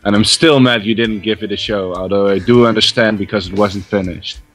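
A man's voice: on the low side, fairly even intonation, a little fast, fairly bright tone. A young man chatting casually as a co-host reviewing things on a group video call. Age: young adult. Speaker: co-host of a track review stream. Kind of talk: casual chat, commentary. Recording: music playing behind the voice. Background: music.

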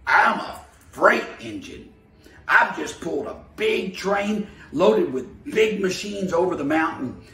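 A man's voice: high-pitched, animated, takes it slowly, pushed and bright, heavily acted. A man voicing a big, proud male freight engine who talks in a pompous, boastful way. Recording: roomy, from the mic.

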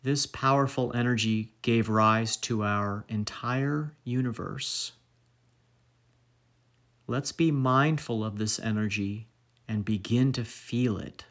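A man leading a guided meditation as instructor, speaking in measured phrases with long pauses. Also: mid-range voice, steady tone, fairly bright tone.

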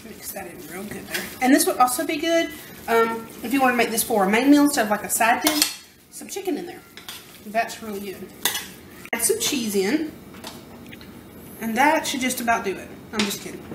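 A woman's voice: on the high side, expressive, normal speed, pauses often, background noise. Woman, 30s, casual cooking chat, self-deprecating, echoey kitchen. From American South. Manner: chatty and self-deprecating, talking while working. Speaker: home cooking vlogger. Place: home kitchen, indoors. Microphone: camera mic from across the kitchen. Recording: echoey kitchen, camera mic at a distance. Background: clinking pots, pans and utensils.

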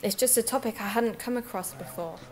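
A woman's voice: a little high, brisk, close to an everyday voice. A woman playing an earnest, curious amateur investigator in audio drama dialogue, tentative and polite. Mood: earnest, inquiring.

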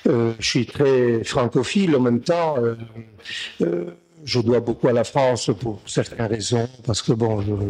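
A middle-aged man from Sicily, a native Italian speaker, giving a halting conference talk at a desk mic with many fillers and restarts. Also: medium pitch, a little mellow. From Sicily, Italy. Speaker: guest speaker, academic. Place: ornate tiled room indoors. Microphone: desk gooseneck mic.

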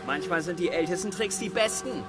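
An actor playing a cool, triumphant armed survivor in a radio drama, dry and taunting. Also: male voice, high voice, animated, brisk, over loud music. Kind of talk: German radio drama acting.

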